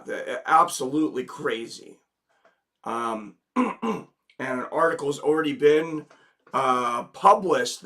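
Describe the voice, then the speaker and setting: A man's voice: fairly high pitch, a bit bright. A man with a slight head cold, hosting a casual live stream, greeting viewers in the chat and reading a news article aloud. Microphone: desk microphone.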